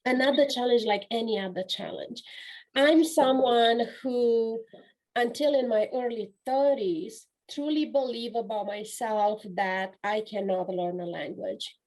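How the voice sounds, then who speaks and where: Earnest Romanian-accented woman, slightly high voice. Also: measured pace, a little mellow, rough recording. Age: 40s. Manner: earnest and reflective, with frequent restarts. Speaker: guest speaker and coach. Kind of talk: conversational interview.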